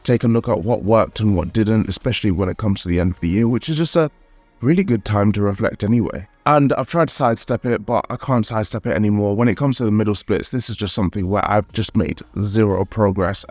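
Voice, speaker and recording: Male fitness vlogger, lowish pitch, slightly nasal, candid and upbeat voice-over, dry close mic with quiet music. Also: no echo. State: nasal, recovering from a cold. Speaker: calisthenics vlogger. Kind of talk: voice-over vlog narration.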